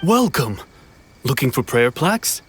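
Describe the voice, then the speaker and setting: Courteous mid-pitched stallkeeper. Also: male voice, very animated, a little slow, slight background noise. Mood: welcoming, helpful. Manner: polite, salesmanlike, explanatory. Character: courteous, helpful male festival stallkeeper. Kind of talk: anime video game voice acting.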